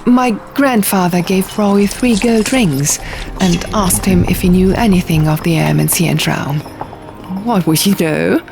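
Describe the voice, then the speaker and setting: A woman's voice: on the low side, a little slow, creaky, over music. A woman voicing a merry, tipsy young king who tells stories in a casual, chatty way, as in an audiobook. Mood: amused storytelling.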